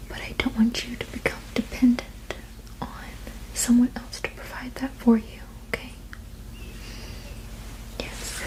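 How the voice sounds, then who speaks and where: A woman whispering softly and breathily in a soothing, reassuring, unhurried ASMR roleplay as a sleep therapist. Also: on the high side, rough recording. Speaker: ASMR performer playing a witch sleep therapist.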